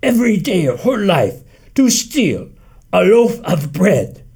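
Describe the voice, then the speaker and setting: A man's voice: on the high side, wildly swooping pitch, takes it slowly, darkish tone, voice pushed up, exaggerated voice. A man voicing an old bearded grandfather who groans and mutters with a heavy accent. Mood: grave.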